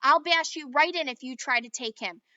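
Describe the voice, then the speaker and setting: A woman voicing an excitable, possessive young boy who talks loudly and insistently. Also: sits high, normal speed, no echo. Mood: defiant. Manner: loud, yelping and insistent. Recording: dull, dry sound.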